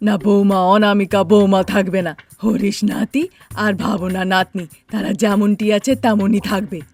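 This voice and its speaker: A woman's voice: medium pitch, little pitch movement, normal speed, a little mellow, lightly acted. A woman playing a bossy, nagging elderly mother-in-law and grandmother in a cartoon, with a scolding, insistent manner. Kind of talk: Bengali cartoon dialogue.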